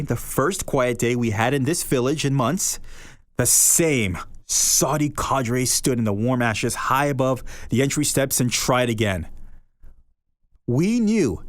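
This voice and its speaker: Guy reading a short story on his podcast, mid pitch, earnest and measured, dry dead room. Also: no echo. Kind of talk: reading literary fiction aloud.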